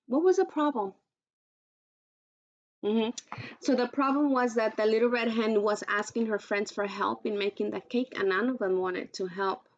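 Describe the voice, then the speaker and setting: A woman teacher reading aloud to children in an instructive way, pausing to pose questions, on a dull recording. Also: mid-range voice. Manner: instructive, poses questions to listeners.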